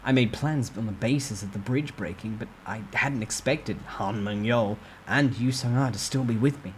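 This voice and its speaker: Low voiced guy doing a dramatic suspenseful story read, noticeable noise in the background. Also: normal speed, rough recording. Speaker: amateur story narrator. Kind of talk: dramatic storytime reading of a fantasy web novel, first person.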